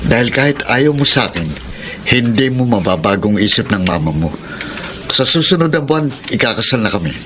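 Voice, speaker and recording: An actor playing a calm, firm middle-aged widower in a Filipino radio drama, speaking in a measured, earnest way. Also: male voice, mid-range voice, slight background noise.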